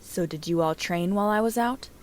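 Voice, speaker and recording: A woman voicing a calm male ninja teacher in a story reading, light in tone with a serious, probing edge. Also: medium pitch, a little fast, lightly acted. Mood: casual probing.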